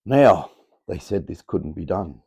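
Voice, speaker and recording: Middle-aged Australian bloke, gravelly and husky, offhand workshop chatter, camera mic in a cluttered room. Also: male voice, sits low, animated, measured pace, mellow. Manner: rambling, offhand, chatty explaining. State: croaky from talking all day. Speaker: electronics hobbyist host. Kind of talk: casual workshop demo. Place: cluttered home workshop, indoors. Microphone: camera mic on tripod.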